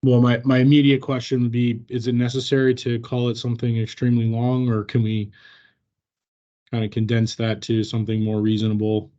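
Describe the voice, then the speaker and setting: A man leads an informal work training discussion, answering questions as he goes. His voice is slightly mellow and he explains in a halting, hedging manner. The sound is dull and lo-fi, like an online meeting. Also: medium pitch, completely flat delivery.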